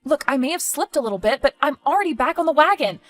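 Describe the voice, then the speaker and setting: A woman's voice: high voice, animated, quick pace. A woman playing a younger woman who feels accused, defensive and indignant in dramatic dialogue. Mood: pleading, exasperated.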